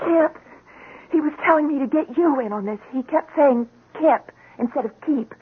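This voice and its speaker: Actress as anxious wife, female, high voice, hesitant and fretful, radio drama, tinny recording. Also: moderate pace, lightly acted. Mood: worried. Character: anxious, devoted wife of a police sergeant. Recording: thin band-limited radio drama recording, faint noise.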